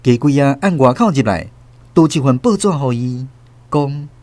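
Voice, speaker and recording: A man with a Taiwanese accent narrating a scripted story in a theatrical, expressive way. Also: mid-range voice, normal speed, no room sound.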